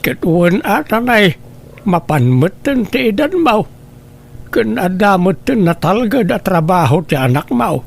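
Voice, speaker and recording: A man playing a doting, excitable old grandfather in a radio drama, exclaiming and teasing as he talks. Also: sits high, a little slow, creaky, exaggerated voice. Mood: grateful, earnest. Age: older. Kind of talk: Ilocano radio drama acting.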